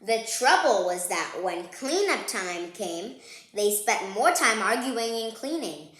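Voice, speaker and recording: A woman reading a children's picture book aloud, playful and expressive, chatting to young listeners between lines. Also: medium pitch, slow. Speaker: read-aloud host. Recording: roomy, echoing sound.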